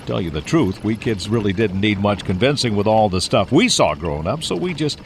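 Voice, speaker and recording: A man's voice: on the low side, animated, brisk, a little hiss or hum. A man playing an uneasy farm-raised man in an audio drama, with folksy, suspenseful delivery. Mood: folksy, ominous. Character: uneasy farm-raised man telling spooky childhood tales.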